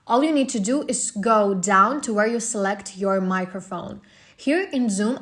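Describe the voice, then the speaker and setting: A woman's voice: mid-range voice, a bit bright. A woman giving scripted tutorial narration, explaining methodically one step at a time.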